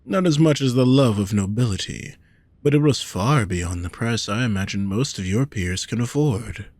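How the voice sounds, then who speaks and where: Man as a low, husky possessive nobleman. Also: lively intonation, darkish tone, dry recording. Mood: smug, reproachful. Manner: formal, measured, deliberate phrasing. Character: possessive, lovesick wealthy nobleman, haughty and coaxing. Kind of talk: scripted romantic audio roleplay, addressed to the listener.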